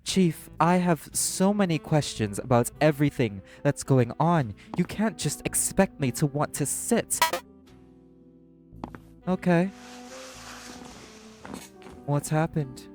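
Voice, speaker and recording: A man playing an apathetic, guarded socialite in a crime syndicate, speaking in a measured, deliberate way in an audio drama. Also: fairly high pitch, lively intonation, music in the background. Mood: tense, probing. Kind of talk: dramatic audio roleplay acting.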